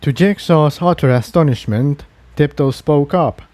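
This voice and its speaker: A man's voice: fairly high pitch, measured pace, darkish tone, no echo. A man reading an adventure story aloud as a scripted dramatic narration. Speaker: fan fiction reader and narrator.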